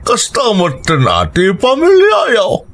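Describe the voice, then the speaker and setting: Man acting a high-voiced, advising father. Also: huge pitch swings, unhurried, a bit bright, slight background noise, heavily acted. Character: advising, encouraging father of a grown son. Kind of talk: Ilocano radio drama acting.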